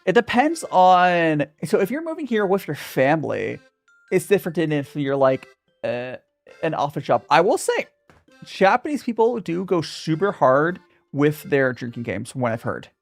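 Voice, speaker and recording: A man's voice: a little high, very animated, moderate pace, vocal fry, soft background music. A young man hosting a casual livestream Q&A, reading viewer questions aloud and answering with hesitant, stop-start phrasing full of restarts. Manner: hesitant, many restarts, reads chat aloud.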